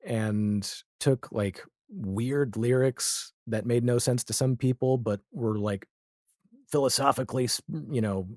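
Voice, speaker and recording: A man's voice: medium pitch, unhurried, a little mellow, no room sound, studio quality. A man speaking as a podcast guest in a casual, reflective interview conversation, explaining his thoughts with examples. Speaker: podcast guest, audio drama creator.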